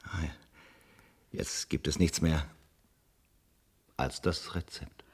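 An actor playing a grave, resigned old man in a radio play, speaking in terse, halting phrases. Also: extremely low pitch, steady tone, husky, exaggerated voice. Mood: resigned, confessing. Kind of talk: German radio play acting.

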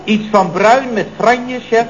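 A muffled old radio recording of a deferential male assistant with a high voice. He is obliging and apologetic, hesitating as he smooths things over. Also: slow pace. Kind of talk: 1970s radio comedy acting.

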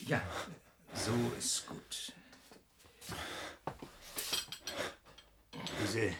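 An actor playing a smooth-talking, coldly sinister male doctor in a radio drama, measured and placating in manner. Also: fairly low pitch, fairly even intonation, very noisy. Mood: soothing.

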